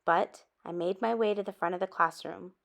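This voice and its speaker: A woman reading a children's story aloud expressively, with dramatic emphasis and drawn-out words. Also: lowish voice, steady tone, gravelly, fairly bright tone. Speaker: storybook reader.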